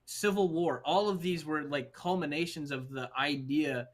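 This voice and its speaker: A man's voice: on the high side, normal speed. A young man chatting casually as host of a movie livestream, emphatic, slangy and freely swearing. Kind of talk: casual chat.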